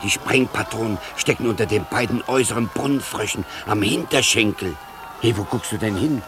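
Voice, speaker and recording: An actor playing a nervous, touchy, grumbling ex-convict accomplice in a comic 1950s German radio play. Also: male voice, fairly low pitch, a little fast, noisy background, natural voice. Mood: nervous, urgent. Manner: grumbling, anxious.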